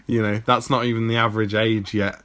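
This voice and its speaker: A man's voice: on the low side, little pitch movement, moderate pace, a bit bright. A man hosting a casual interview, encouraging his guest and often saying "yeah" and "like". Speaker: podcast host.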